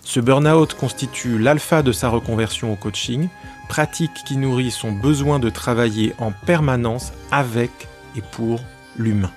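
A man with a mid-range voice hosts a coaching podcast, faint music under him. He reads a scripted intro and interviews his guest in a clear, polished presenter's manner. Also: mid-range voice, moderate pace.

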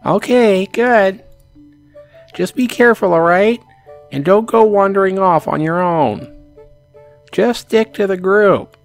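Man doing a worried mom, high falsetto pitch, coddling, fussy, visual novel character, music behind. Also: slow, long pauses. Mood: worried, nagging. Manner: coddling, cautioning. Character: worried, fussy mother of a teenage boy.

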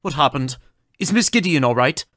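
A man acts out a formal, bewildered scientist for an audio drama. His delivery is precise, with pitch that swoops widely, in a dry, close recording. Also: lively intonation, normal speed, a bit bright, dry recording. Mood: confused, agitated.

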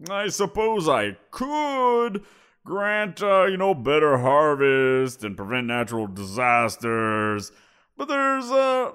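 A man's voice: high-pitched, fairly bright tone, smooth, exaggerated voice. A man voicing a giant wolf creature in an audio roleplay, teasing and amused, chuckling as he talks. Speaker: voice actor.

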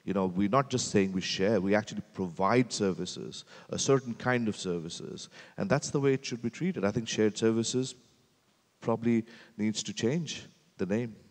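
A man on a conference panel jumping into the talk quickly, with fillers. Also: on the low side. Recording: long hall reverb.

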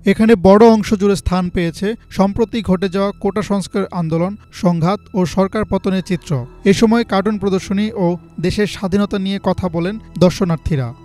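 High-pitched Bangladeshi male news reporter. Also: fairly quick, darkish tone, soft background music. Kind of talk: scripted news narration.

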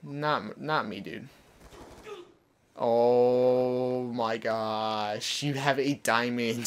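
A young man giving casual, chatty commentary over his gameplay, explaining tips as he plays. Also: vocal fry. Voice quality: deeper voice. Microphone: desk condenser mic. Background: soft background music.

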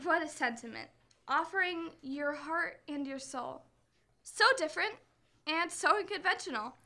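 A woman's voice: high-pitched, animated, normal speed, pushed and bright, heavily acted. A young woman playing a dreamy, gushing, romantic girl in an old-time radio comedy. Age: teens to young adult. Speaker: student actor. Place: school library room, staged performance. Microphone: stand microphone on stage.

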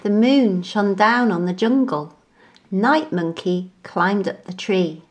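A woman reading a children's bedtime story aloud in an expressive, deliberate storyteller's manner. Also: mid-range voice. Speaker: storybook reader.

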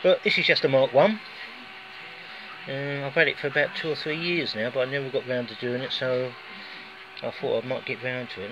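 A man with a British accent, casually chatting through a homemade gadget demonstration while filming on his phone in a garage. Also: medium pitch. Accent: British English. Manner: chatty and rambling, with folksy asides. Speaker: hobbyist vlogger. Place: indoors, garage or workshop floor. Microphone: handheld phone filming. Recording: dull lo-fi phone audio with clear background noise.

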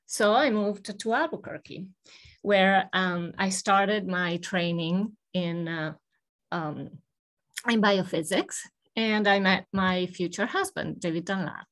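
An older woman from Italy recounting her path into science on a video call, thoughtful and measured, with frequent hesitant pauses. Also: medium pitch, animated, fairly bright tone. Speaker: guest speaker. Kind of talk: personal history talk on a video call. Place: home office with bookshelves, indoors. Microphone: video call webcam.

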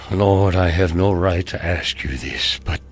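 An actor playing an aging gunslinger turned preacher in a Western video game, devout, grave and burdened, with a prayerful way of speaking. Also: male voice, deep voice, measured pace, slight background noise. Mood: remorseful, pleading. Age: older.

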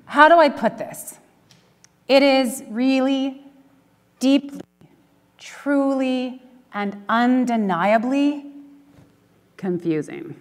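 A middle-aged woman preaching a conversational sermon with dry humour and deliberate pauses, from a church pulpit. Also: sits high. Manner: wry, dryly humorous, with deliberate pauses. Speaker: minister preaching. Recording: echoey church hall, long reverb.

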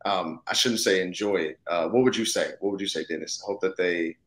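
Low-pitched man, reflective and casual. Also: brisk, a bit bright. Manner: thoughtful, casual, punctuated with "you know what I'm saying". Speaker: actor, interview guest. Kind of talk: reflective conversational interview. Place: indoor room, video call. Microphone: video call, seated close to the camera.

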